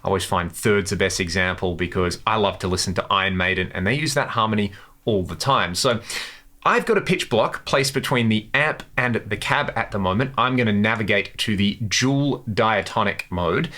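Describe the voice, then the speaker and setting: A man's voice: lowish voice, a little fast, pushed and bright. A man hosting a guitar gear tutorial, explaining things step by step in a casual, conversational way. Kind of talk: instructional demo, casual explanation.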